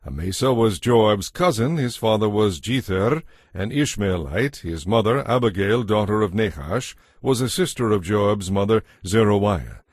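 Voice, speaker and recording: Low-pitched solemn male narrator. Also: lively intonation, a little mellow, no room sound, studio quality. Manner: measured, solemn storytelling. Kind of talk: scripted dramatized audiobook narration. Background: faint music.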